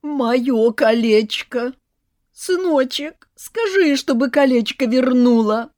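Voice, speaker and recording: A woman voicing a scheming mother who coos and fake-sobs in a high, shrill voice. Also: big pitch swings, slow pace, warm tone, no echo, clean studio sound, heavily acted. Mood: simpering.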